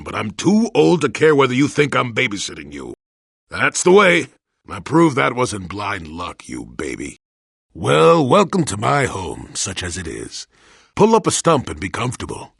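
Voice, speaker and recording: A man playing a crotchety, wry old hermit Jedi in a video game, grumbling in a folksy, sardonic way with a gravelly, husky voice. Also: medium pitch, a bit bright, dry recording, clean studio sound, exaggerated voice. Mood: crotchety, dismissive. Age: older. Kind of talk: video game character acting.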